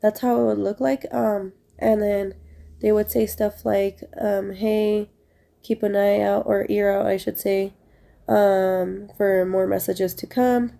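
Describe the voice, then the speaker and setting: A woman's voice: mid-range voice, flat delivery, takes it slowly, vocal fry, mellow. A young woman with a stuffy, congested voice, casually telling a rambling story to her viewers with many fillers. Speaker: vlogger.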